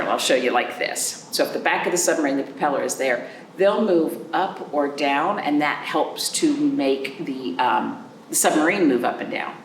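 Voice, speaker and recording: A woman hosting a children's storytime, reading aloud and chatting in a sing-song, animated way, addressing young listeners directly. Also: low voice. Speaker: library storytime host. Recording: roomy, distant mic, faint background noise.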